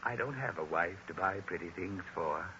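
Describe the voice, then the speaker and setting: A man's voice: deep voice, expressive, moderate pace. A man playing a lonely, courtly bachelor clerk in a 1940s radio sitcom, coy and full of mournful modesty. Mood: self-pitying. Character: coy, lonely, self-pitying middle-aged bachelor clerk. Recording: noisy, dull old 1940s radio recording.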